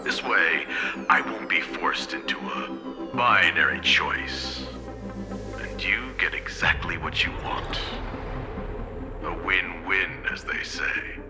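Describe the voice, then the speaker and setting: An actor playing a stern, calculating old corporate patriarch in a video game, with measured, formal and authoritative speech. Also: male voice, low-pitched, expressive. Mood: weighty, deliberate. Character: stern, calculating elderly male corporate elder. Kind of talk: dramatic video game voice acting. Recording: loud music behind the voice. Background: music.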